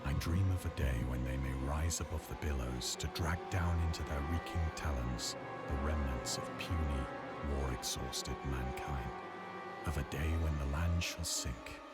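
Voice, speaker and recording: Haunted sailor, extremely deep voice. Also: male voice, normal speed. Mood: brooding dread. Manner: grave, ornate, theatrical delivery. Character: haunted, terrified male sailor narrating a horror tale. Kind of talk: dramatic horror narration for a visual novel. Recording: loud music under the voice.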